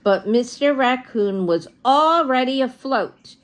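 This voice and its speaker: A woman storyteller reading a children's storybook aloud in an animated way, with chatty asides to young listeners. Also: sits high, slow.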